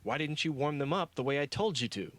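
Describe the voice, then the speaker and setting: A man's voice: fairly high pitch, lively intonation, fairly quick, fairly bright tone, pitched above the performer's own voice. A man voicing a thorny, short-tempered male doctor in an audiobook, barking and snappish. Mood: angry.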